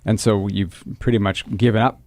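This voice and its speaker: A man's voice: lowish voice, fairly even intonation, moderate pace, darkish tone, dry recording. A Canadian man hosting a casual radio interview, asking questions conversationally. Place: radio studio.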